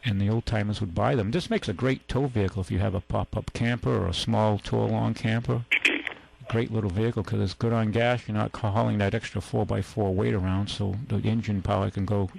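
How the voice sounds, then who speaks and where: A man's voice: lowish voice, steady tone, fairly quick, creaky, a little mellow, rough recording. A man with a New England accent hosting a radio car show, talking in a rambling, folksy way full of fillers and restarts. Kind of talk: casual radio chat.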